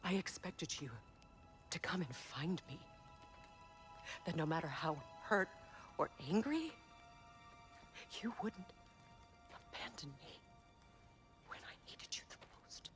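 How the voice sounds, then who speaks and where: Game role: proud wounded goddess, formal and intense, widely varied pitch, music clearly underneath. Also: female voice, very animated, takes it slowly, pauses often. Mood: hurt. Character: proud, wounded warrior goddess, dutiful sister. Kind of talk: cinematic video game acting.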